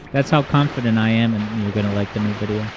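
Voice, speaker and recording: A man hosting a gaming livestream from home, reading viewer comments aloud in a rambling, joking, self-deprecating way, with a smooth voice. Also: fairly low pitch, normal speed, muffled recording. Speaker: livestream host. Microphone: streaming mic with boosted EQ. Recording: steady background noise.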